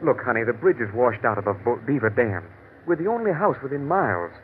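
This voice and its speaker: An actor playing a stern, protective rancher husband in an old radio drama, firm and urgent. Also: male voice, mid-range voice, very animated, brisk, close to an everyday voice. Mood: insistent. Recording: noisy, tinny vintage radio recording.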